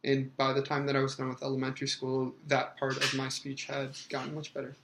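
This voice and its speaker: A man in his thirties answering interview questions hesitantly, with many fillers and pauses. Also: mid-range voice, monotone, reverberant, background noise. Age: 30s.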